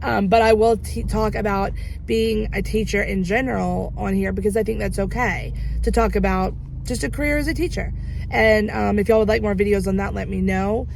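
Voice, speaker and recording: Hoarse, gravelly woman vlogging from a car. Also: mid-range voice, steady tone, moderate pace, darkish tone, a little hiss or hum, poor audio. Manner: rambling, self-interrupting, frequent ums. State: hoarse, strained voice. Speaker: teacher and vlogger. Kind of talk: casual chatty vlog. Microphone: handheld camera.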